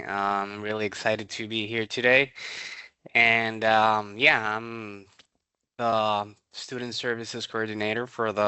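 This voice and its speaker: A young man from Nicaragua, Spanish his first language, speaks in English in a podcast interview, searching for words with frequent ums and restarts. His voice sits low but sounds bright and crisp. The recording is dry and close. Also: no echo. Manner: hesitant, many ums and restarts. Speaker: student services coordinator. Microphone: podcast microphone.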